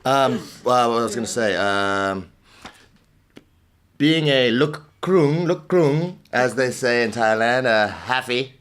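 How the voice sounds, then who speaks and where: A man's voice: mid-range voice, lively intonation, slow, fairly bright tone, clean tone. A man co-hosting a podcast, chatting casually and teasing his guest.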